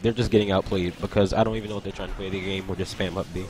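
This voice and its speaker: A man's voice: low voice, little pitch movement, very fast, background noise. A young man commentating a video game tournament stream, chatting loosely and laughing between plays. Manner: casual, laughs often, answers chat between plays. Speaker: esports commentator. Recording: loud music behind. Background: game music.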